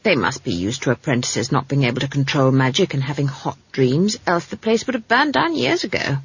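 A woman's voice: very deep voice, big pitch swings, a little fast, husky, dry recording, muffled recording, heavily acted. A woman voicing a sour, brusque old country witch in a storybook, wry and knowing. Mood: matter-of-fact. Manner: sour, brusque, cryptic, scolding.

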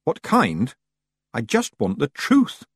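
A man's voice: medium pitch, wildly swooping pitch, takes it slowly, slightly rough, no room sound, voice pushed up, heavily acted. A man voicing a stern, bossy and inquisitive young female witch in an audiobook. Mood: stern, demanding. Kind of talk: audiobook character voice.

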